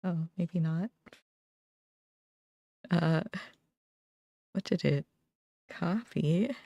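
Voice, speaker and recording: A young adult woman chatting casually on a livestream, musing informally in short, halting phrases. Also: fairly low pitch, vocal fry, warm tone, dry recording. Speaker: game streamer. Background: background music.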